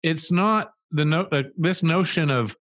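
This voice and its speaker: A man's voice: fairly high pitch, measured pace, a bit bright, dry recording, clean studio sound. Thoughtful middle-aged man explaining ideas. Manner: thoughtful, explains with restarts and asides. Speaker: marketing agency co-founder, guest. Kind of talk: conversational interview answers. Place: small studio room with a red wall. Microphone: studio mic on a boom arm with headphones.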